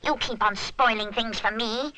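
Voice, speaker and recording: A woman voicing a spiteful, excitable little male root creature in a children's story, sulky and spluttering. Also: a little high, normal speed, dry recording, low-quality recording.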